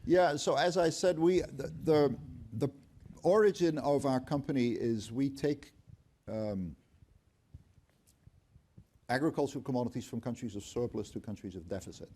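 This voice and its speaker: A middle-aged man, a company finance chief speaking on an auditorium stage, explaining things in a measured way with dry humor and frequent ums. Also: medium pitch, warm tone, breathy edge. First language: Dutch. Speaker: company CFO, guest speaker. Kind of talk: onstage conversation. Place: auditorium stage before an audience.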